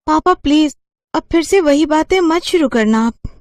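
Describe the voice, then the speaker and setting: A woman's voice: sits high, expressive, moderate pace, darkish tone, dry recording, clean studio sound, voice pushed up. A woman voicing a poor, proud young student who speaks earnestly in a cartoon story. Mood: pleading. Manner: earnest, pleading.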